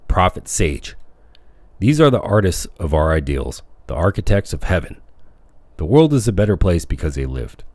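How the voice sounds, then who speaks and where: A man reading a self-help audiobook as scripted narration, with measured, declamatory phrasing. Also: sits low, darkish tone, no echo. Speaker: audiobook reader.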